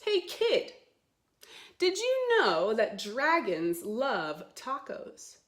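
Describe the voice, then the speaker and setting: Slow, echoey woman hosting children's storytime. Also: fairly high pitch. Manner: playful, simple, exaggerated expressive delivery for young children. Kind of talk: children's storytime, guided stretching and read-aloud.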